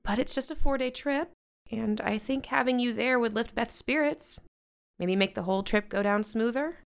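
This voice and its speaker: A woman's voice: on the high side, moderate pace, creaky. A middle-aged American woman playing a stressed, stern but loving mother in a modern audio drama. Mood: gentle, persuading.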